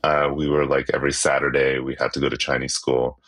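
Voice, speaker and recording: Very deep-voiced man, loose and chatty. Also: fast talker. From Canada. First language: Cantonese. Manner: relaxed, loose, many fillers and restarts. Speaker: musician. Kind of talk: casual conversational interview.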